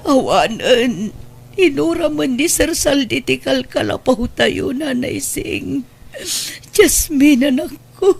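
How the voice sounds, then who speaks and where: A woman's voice: high voice, expressive, slow pace, vocal fry, a little mellow, soft background music, heavily acted. A woman playing a poor, humble, anxious mother in a radio drama, plaintive and hesitant, with an Ilocano Filipino accent. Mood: tearful, distraught.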